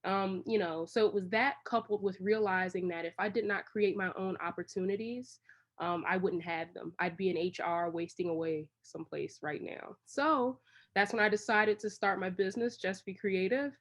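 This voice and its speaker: A woman's voice: medium pitch, moderate pace. A woman from Washington, D.C., giving casual, long-winded answers as a guest in an interview. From Washington, D.C., United States. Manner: long-winded, rambling storytelling. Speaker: interview guest, creative director.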